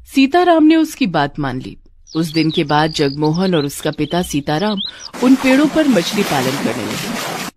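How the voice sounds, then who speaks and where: A woman's voice: on the low side, background noise. A woman narrating a scripted cartoon story as a storyteller.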